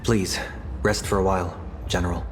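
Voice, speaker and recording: An actor voicing a calm, refined, idealistic male leader in a video game, with eloquent, measured, formal speech. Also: sits low, a little hiss or hum, close to an everyday voice. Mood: calm, reflective. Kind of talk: game voice acting.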